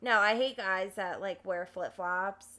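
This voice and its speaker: A woman's voice: medium pitch, a little slow, a crowd in the background. A young woman co-hosting a casual chat, blunt and profane, with a slightly nasal voice. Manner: blunt, profane, animated ranting. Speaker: podcast co-host.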